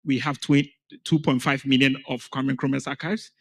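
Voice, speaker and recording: A man's voice: medium pitch, flat delivery, a little slow. A man from Ghana speaking as a panel guest, telling his story in an earnest, emphatic way. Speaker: panel guest, founder of an education organization. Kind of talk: panel conversation.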